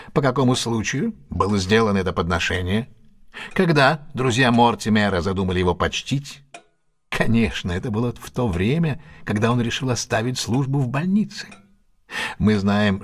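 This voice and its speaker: A brilliant, self-assured detective in a radio play. His low voice is husky and swings widely as he lectures with theatrical, gently condescending precision. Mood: probing. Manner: didactic, theatrical, reasoning step by step. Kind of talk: Russian audio drama acting.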